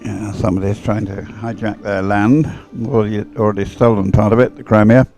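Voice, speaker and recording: An older man with a fairly low voice commentates live on boat racing. He talks in a hesitant, chatty way and wanders into asides. There is faint music behind him. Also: fairly even intonation, fairly quick. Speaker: sports commentator, former umpire.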